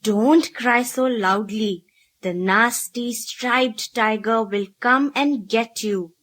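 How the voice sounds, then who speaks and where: A woman voicing an old grandmother in a storybook, soothing a child with slow, drawn-out coaxing. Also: on the high side, a bit bright. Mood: hushing, warning. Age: older. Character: old Korean grandmother hushing a crying grandson. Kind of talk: storybook read-aloud character voice.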